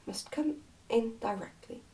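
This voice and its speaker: A woman's voice: fairly high pitch, a little slow, dark tone, far from the mic, natural voice. A woman voicing a fussy, strict nursemaid from a storybook, with a prim, scolding manner. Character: fussy, strict nursemaid minding a young princess.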